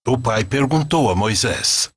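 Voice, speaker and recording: Slow, expressive male storyteller. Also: mid-range voice, slightly rough, no echo, studio quality. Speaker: narrator, storyteller. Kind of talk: scripted narration of a religious story.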